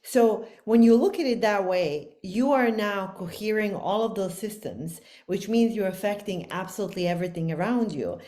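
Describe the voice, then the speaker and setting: A woman's voice: mid-range voice, normal speed, few pauses, dark tone. A woman from Bosnia with a Bosnian accent, speaking earnestly and expansively as a podcast guest. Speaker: guest author. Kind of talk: casual podcast conversation.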